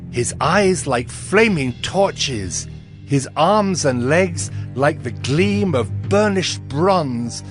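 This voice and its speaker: Slow, reverent prophet over music. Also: male voice, fairly high pitch. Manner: grave, reverent, deliberate. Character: Daniel, male Hebrew prophet, humble and awestruck, recounting visions. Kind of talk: dramatized scripture storytelling. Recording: clear music bed behind voice.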